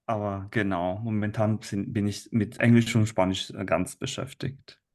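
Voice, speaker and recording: A man's voice: on the low side, steady tone, moderate pace, darkish tone. A man from Italy in his thirties with an Italian accent, a teacher talking as a guest over a video call, hesitant with many fillers and restarts. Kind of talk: conversational interview.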